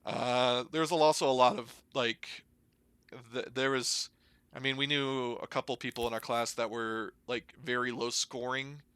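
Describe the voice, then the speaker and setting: A man's voice: mid-range voice, fairly even intonation, measured pace, a bit bright, smooth, no echo. A young American man chatting casually, telling stories and explaining things in an informal, rambling way. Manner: informal, rambling, hedging with slang. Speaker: podcast guest, air traffic controller. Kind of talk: casual conversational storytelling and explanation.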